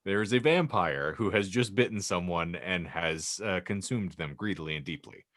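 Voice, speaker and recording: A man's voice: low-pitched, lively intonation, moderate pace, fairly bright tone, no echo, studio quality. A man hosting a casual group podcast, speaking in a wordy, theatrical way. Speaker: podcast host. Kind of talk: casual group chat with a read-out prompt.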